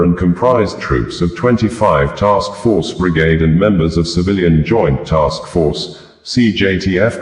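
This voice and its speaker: A man with a Nigerian accent reads scripted news as a presenter in a formal, measured manner. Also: low voice. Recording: roomy, echoing voice.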